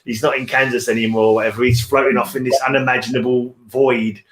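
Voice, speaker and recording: A man's voice: mid-range voice, flat delivery, fast, echoey room, crowd noise. A man chatting casually as host of a book read-along, rambling and repeating phrases as he searches for words. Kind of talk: casual book discussion chat.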